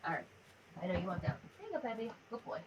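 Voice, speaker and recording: A woman's voice: mid-range voice, big pitch swings, fast, heavy background noise. A woman livestreaming a video game, chatting informally and in a rambling, affectionate way into a headset mic. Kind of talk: casual livestream chat over gameplay. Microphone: sensitive headset mic. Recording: dull, lo-fi headset audio.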